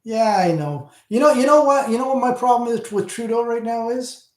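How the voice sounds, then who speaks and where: A man's voice: extremely high pitch, moderate pace. Rambling Canadian man in an echoey room. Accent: Canadian, Southern Ontario. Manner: rambling, talks back to chat, trails off. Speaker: channel host. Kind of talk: casual livestream chat. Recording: roomy echo.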